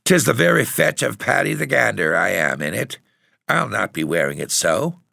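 A man's voice: on the low side, very animated, moderate pace, creaky, pushed and bright, no room sound, clean studio sound, voice pushed up, exaggerated voice. A man voicing a headstrong, earnest girl of about ten in an audiobook story. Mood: determined. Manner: earnest, stubborn. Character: headstrong little Irish girl of nine or ten.

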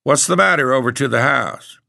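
A man reading aloud voicing a bewildered old farmer who speaks in halting gasps. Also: mid-range voice, creaky, sharp tone, dry recording, clean studio sound, pitched above the performer's own voice. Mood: baffled. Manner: gasping, halting speech.

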